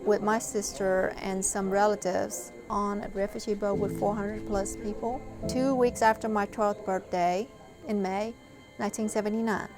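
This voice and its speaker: A woman in her fifties with a Vietnamese accent, telling her own story in a measured, reflective way for a documentary interview. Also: mid-range voice, fairly even intonation, music in the background, low-quality recording. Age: 50s. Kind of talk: personal testimony in a documentary interview.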